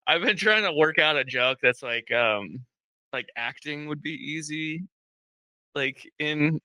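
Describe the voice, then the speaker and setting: A man hosts a casual interview with a very bright, somewhat high voice, cracking jokes and laughing often. The sound is dry and close-miked. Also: unhurried, no room sound. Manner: jokey, laughs often, says dude. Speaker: podcast host.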